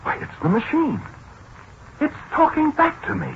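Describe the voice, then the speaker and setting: An American actor playing a fussy, exasperated middle-aged scientist who speaks hesitantly and excitably in a 1940s radio drama. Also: male voice, very high voice, normal speed, creaky, heavy background noise, full character voice. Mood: startled, alarmed. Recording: muffled 1940s radio broadcast with music.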